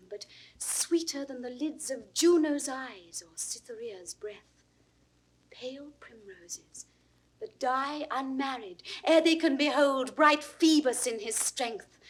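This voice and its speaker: A woman playing a gentle, ardent young shepherdess in classical Shakespearean verse, with a British RP accent and lyrical, carefully enunciated delivery. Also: high-pitched, poor audio. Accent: British Received Pronunciation.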